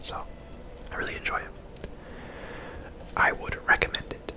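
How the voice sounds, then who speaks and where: Asmr gamer whispering the whole time, breathy and chill, casual game commentary. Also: a little slow. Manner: laid-back, casual. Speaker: host, gamer.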